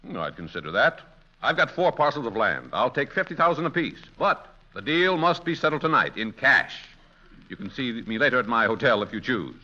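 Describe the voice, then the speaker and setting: An actor playing a tough, slangy con man with a gruff wise-guy manner in a vintage radio comedy. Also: medium pitch, expressive, brisk, sharp tone, slight background noise. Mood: smooth, businesslike. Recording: dull vintage radio recording.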